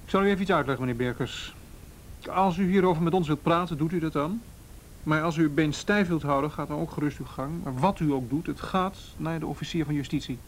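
An actor in a radio play playing a firm, patient policeman, measured and matter-of-fact. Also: a little high, lightly acted. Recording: faintly noisy radio drama recording.

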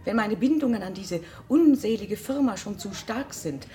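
A woman's voice: medium pitch, animated, normal speed, mellow, soft background music, close to an everyday voice. An actress playing a scornful, bitter wife and mother in a German radio drama, speaking in a cutting, accusing way. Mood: cold, resolute. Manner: accusing, cutting, emphatic repetition.

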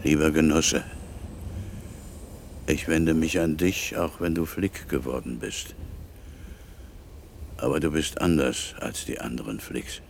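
Old down-and-out comrade: extremely low, slow, creaky, earnest voice-over for radio drama. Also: male voice, fairly even intonation, a little hiss or hum, exaggerated voice. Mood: grave, confiding. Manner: slow, deliberate.

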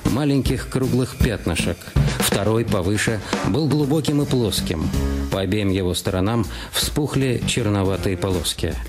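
A man's voice: fairly low pitch, normal speed. A man reading a detective novel as narrator in a scripted radio production. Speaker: narrator of an audio drama. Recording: clear music under the voice. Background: background music.

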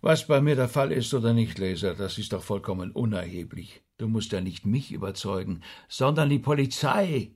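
An actor playing an earnest, awkward rabbi turned amateur investigator in a German radio drama, polite and a little hesitant. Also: male voice, lowish voice, animated, normal speed, hardly any pauses, darkish tone, husky. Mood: stern, dismissive.

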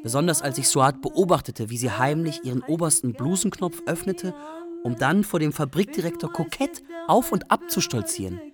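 A woman playing an admiring, expressive storyteller of memories in a literary radio drama. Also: low-pitched, moderate pace, a crowd in the background. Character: narrator of a refugee's factory memories, admiring and expressive.

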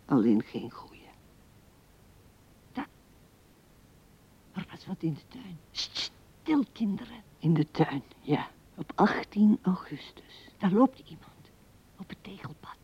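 An actress playing an old widow who muses tenderly and haltingly to her late husband in a radio drama, often dropping to a hush. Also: fairly low pitch, sing-song, husky, full character voice. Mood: hushed, fearful. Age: older. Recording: dull 1970s radio recording.

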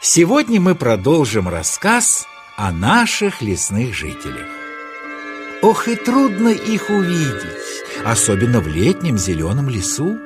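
A man narrating a children's story as storyteller, with expressive, dramatic delivery. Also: mid-range voice, unhurried, music in the background.

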